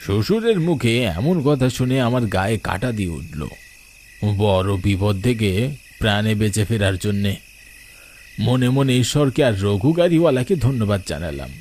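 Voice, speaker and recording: A man's voice: lowish voice, expressive, moderate pace, a little mellow, slight background noise. A man narrating a ghost story in the first person, in a dramatic storytelling style. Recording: night crickets and insects behind.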